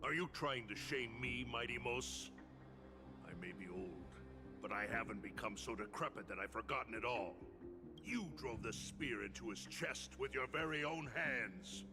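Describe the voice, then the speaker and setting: A man playing a proud, blunt old warrior in a video game, speaking in a gruff, commanding and disdainful manner. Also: mid-range voice, lively intonation, moderate pace, lightly acted. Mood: indignant, reproachful. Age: older. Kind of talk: dramatic video game voice acting. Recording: game music under the voice.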